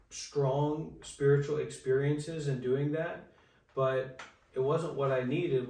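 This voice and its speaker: A man in his twenties tells his story in a halting, unhurried way. His voice sits in a narrow, flat range. It comes from some distance off, in a room with lots of echo. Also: medium pitch. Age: 20s. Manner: halting, many fillers and restarts. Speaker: interview guest, Buddhist monk. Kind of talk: casual storytelling in an interview. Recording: echoey room, far from the mic.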